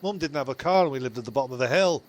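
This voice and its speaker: A man plays a blunt, mocking mate in a comic audio story. His voice sits at an ordinary medium pitch, with a dry, needling delivery. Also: expressive, rapid-fire, lightly acted. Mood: defensive, blunt.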